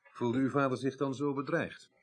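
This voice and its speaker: An actor playing a courteous, businesslike police inspector in a radio drama, asking questions politely. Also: male voice, medium pitch, fairly even intonation, normal speed, natural voice. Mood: impressed. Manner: polite, hesitant hums, questioning. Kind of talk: 1980s Dutch radio drama acting.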